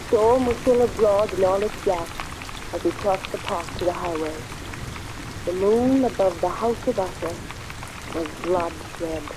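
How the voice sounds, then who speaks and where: A slow, solemn voice of a haunted survivor played by a radio actor, recounting a Gothic tale in measured dramatic phrases. Rain noise runs clearly through the old recording. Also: mid-range voice, natural voice. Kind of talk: 1940s radio drama narration.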